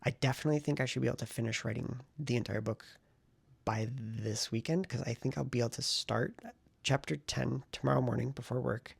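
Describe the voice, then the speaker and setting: Gravelly, creaky-voiced man vlogging casually. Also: mid-range voice, steady tone, brisk, dry recording. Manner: rambling, self-reflective, thinking aloud. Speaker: writing vlogger and novelist. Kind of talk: casual talking-head vlog.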